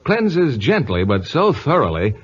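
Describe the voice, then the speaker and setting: A male 1950s radio announcer delivers scripted pitches with lively intonation. His voice is husky and mid-pitched, on a muffled old broadcast recording. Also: measured pace.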